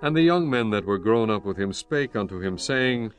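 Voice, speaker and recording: A male narrator with a fairly low voice reads scripture as drama. His delivery is formal and solemn, and music plays softly behind him. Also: animated, moderate pace.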